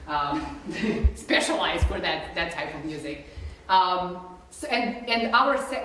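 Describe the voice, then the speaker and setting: A young Serbian-accented woman speaks casually to a live audience, full of "like" and "um". Her voice sits on the lower side. She is heard from a distance in a very echoey concert hall. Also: fairly bright tone, a little hiss or hum. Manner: chatty, many "like" and "um" fillers. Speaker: flutist introducing a concert. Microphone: distant room mic. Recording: echoey hall recorded from afar.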